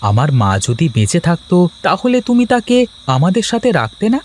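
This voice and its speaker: A man's voice: sits high, sing-song, fairly quick, slight background noise. A man voicing an earnest, kind-hearted poor village husband in a cartoon, speaking expressively and cajolingly. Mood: earnest, coaxing. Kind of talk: Bengali moral-story cartoon dubbing.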